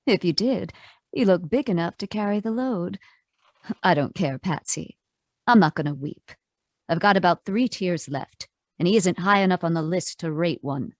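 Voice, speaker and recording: A woman playing a cool, sardonic femme fatale in a 1940s-style radio noir, trading unhurried, taunting wisecracks. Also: on the low side, expressive, dry recording, studio quality, natural voice.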